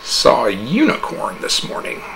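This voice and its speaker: A man voicing a cold, scornful, unfriendly wife in a slow, withering, drawn-out delivery. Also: mid-range voice, wildly swooping pitch, gravelly, sharp tone, slight background noise, heavily acted. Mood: gloating, conspiratorial.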